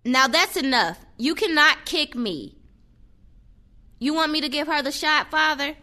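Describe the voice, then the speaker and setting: A woman's voice: sits high, unhurried, sharp tone. A woman acting as a stern, impatient care-home nurse in an audio play, scolding and curt. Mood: scolding, angry.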